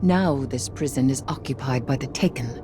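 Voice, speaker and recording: Low, solemn dark sorceress. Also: female voice, music in the background. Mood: grave, resolute. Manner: solemn, deliberate, formal. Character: grave, resolute dark sorceress, proud and vengeful. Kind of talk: dramatic video game dialogue.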